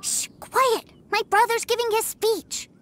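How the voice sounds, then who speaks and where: Little boy, childlike, earnest, eager, very high pitch, animated, video game dialogue. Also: measured pace, heavily acted. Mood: hushed, excited. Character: eager innocent little boy adoring his big brother.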